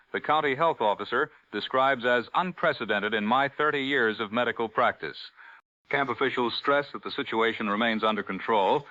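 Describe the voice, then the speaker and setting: A man playing an authoritative 1940s radio newsman and pitchman with a polished, formal delivery. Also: pushed and bright, no echo, close to an everyday voice. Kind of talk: 1940s radio news and ad reading. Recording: dull, dry vintage radio sound.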